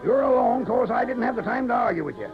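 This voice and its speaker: An actor playing a feisty, bossy rancher father in a 1940s radio western, folksy and excitable, with a rural Western American accent. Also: very high voice, quick pace, creaky, heavily acted. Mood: urgent, bossy. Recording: dull vintage radio, music and crowd noise.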